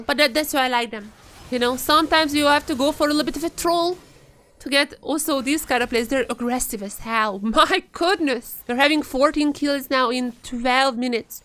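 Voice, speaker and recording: Female esports caster, high voice, excitable and chatty, live watch-party commentary. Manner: excitable, chatty, rising into shouts in action.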